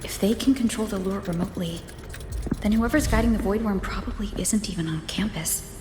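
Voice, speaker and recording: A woman's voice: medium pitch, fairly quick, roomy sound, background noise, natural voice. A woman playing a determined, level-headed young video game heroine, speaking in a resolute, terse, thoughtful way. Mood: grave, resolute. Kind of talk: dramatic video game voice acting. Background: music.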